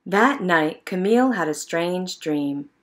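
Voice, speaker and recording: A woman narrating a children's picture book in an expressive, measured storytelling manner. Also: lowish voice. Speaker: storybook reader.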